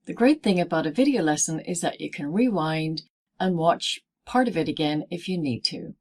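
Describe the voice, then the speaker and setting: A woman presenting a scripted pronunciation lesson as a teacher, speaking in deliberate, clearly segmented phrases. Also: fairly low pitch, heavy background noise. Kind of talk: scripted instructional narration in easy English.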